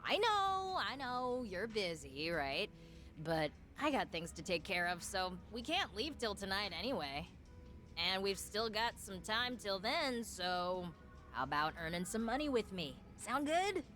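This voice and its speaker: Chatty streetwise young game woman, breezy slangy, bit low voiced, music behind. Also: very animated, a little slow, fairly bright tone. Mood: coaxing. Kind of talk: English video game voice acting.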